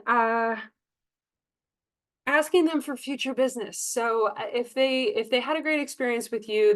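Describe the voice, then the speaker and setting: A woman working as a sales trainer, talking staff through tips in a conversational, emphatic coaching manner. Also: a little high. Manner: coaching, emphatic, conversational, acts out example dialogue. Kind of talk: informal staff training talk.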